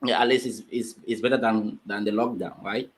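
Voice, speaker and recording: A man's voice: on the low side, fairly even intonation, fairly quick, slight background noise. A man hosting an online interview show, friendly and prone to repeating words and restarting. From Finland. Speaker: show host.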